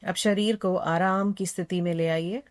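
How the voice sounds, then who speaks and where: A woman with a slightly low voice guides a relaxation practice as a yoga nidra instructor. She speaks calmly and without hurry, in a soothing way. Instructions come in short, repeated phrases. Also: normal speed. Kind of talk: slow guided meditation instruction.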